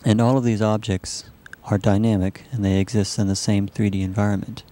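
A man narrating a software demonstration as a researcher, explaining it in a halting, measured way. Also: fairly low pitch, little pitch movement. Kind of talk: live demo narration of a computer animation.

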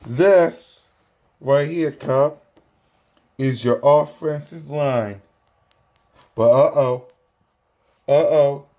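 An American man in his thirties giving a mocking, repetitive, drawn-out sports fan taunt, recorded on a phone indoors. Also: medium pitch, dark tone. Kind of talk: taunting fan vlog, casual trash talk. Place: small room indoors at home. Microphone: phone at arm's length.

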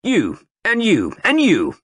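A man's voice: sits high, wildly swooping pitch, takes it slowly, a bit bright, slightly rough, no echo, pitched above the performer's own voice, heavily acted. A man voicing a blustering middle-aged army sergeant major who whips up a crowd with a rousing recruiting speech.

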